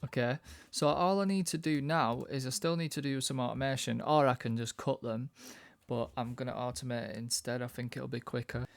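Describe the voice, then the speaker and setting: Low-voiced man with a gravelly, creaky edge. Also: fairly even intonation, fairly quick, hardly any pauses, dry recording. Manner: informal, thinking aloud as he works. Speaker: music production tutor. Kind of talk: casual software tutorial narration.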